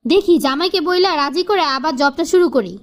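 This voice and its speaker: A woman plays a young cartoon wife with a strikingly high-pitched voice. She sounds plaintive and earnest, frustrated with married life. Her complaints are emphatic, between pleading and defiance. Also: expressive, moderate pace. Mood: aggrieved, earnest. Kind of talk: cartoon family drama dialogue.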